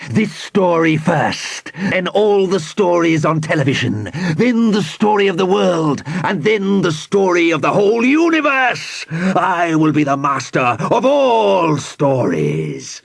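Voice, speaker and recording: Grandiose, mocking cartoon supervillain. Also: male voice, high voice, big pitch swings, slow pace, creaky, few pauses, husky, no echo, pitched above the performer's own voice, heavily acted. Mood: triumphant, power-mad. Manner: grandiose, declamatory shouting. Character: fearsome muscle-bound cartoon villain, grandiose and mocking.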